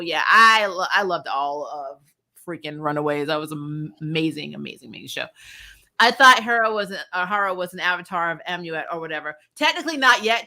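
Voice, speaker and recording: A woman hosting a casual fan talk, blunt and emphatic, lightly swearing and quick to laugh. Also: mid-range voice, pushed and bright. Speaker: host of a fan review show. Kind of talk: casual chat, answering viewer comments.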